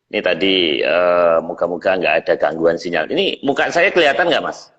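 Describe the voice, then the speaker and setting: A man's voice: expressive, fairly quick, a bit bright. A man hosting a casual live online chat. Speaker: host of a livestream series. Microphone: online video call. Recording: muffled low-fi audio with long reverb.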